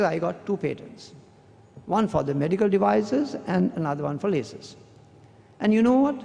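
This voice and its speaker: A man who is a surgeon with a Sri Lankan English accent gives a formal academic address, speaking in a measured, deliberate way with slow, emphatic stretches. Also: on the high side, vocal fry, mellow. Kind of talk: formal prepared speech, reading a long quotation. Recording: long hall reverb.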